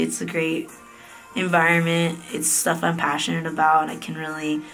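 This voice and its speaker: A woman's voice: fairly low pitch, fairly bright tone, rough recording. A woman in her late twenties from the United States, giving a casual, candid vlog update in a chatty way, with fillers and self-corrections. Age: late 20s. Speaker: vlogger. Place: office private room at night.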